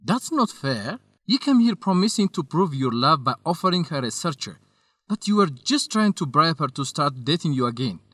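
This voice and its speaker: A man's voice: fairly high pitch, big pitch swings, normal speed, fairly bright tone, dry recording, clean studio sound. An actor playing an earnest, loyal and shy young male researcher, a little hesitant, in an educational radio drama. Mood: indignant. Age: young adult.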